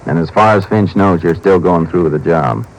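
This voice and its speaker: An actor playing a calm, steady Texas Ranger with a terse, measured, authoritative way of talking in an old-time radio drama. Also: male voice, deep voice. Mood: steady, firm. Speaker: narrator and lead actor. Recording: noisy vintage radio drama recording.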